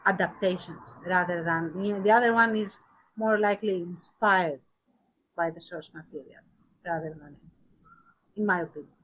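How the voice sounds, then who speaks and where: A woman co-hosting a casual podcast discussion, talking thoughtfully with pauses and emphasis on key words. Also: lowish voice, a bit bright, slight background noise, rough recording. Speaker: podcast co-host.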